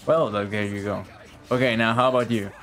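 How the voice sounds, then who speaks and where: A man's voice: lowish voice, fairly even intonation, brisk, a bit bright. A man giving casual live-stream game commentary in slangy, chatty language with plenty of swearing. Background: game music.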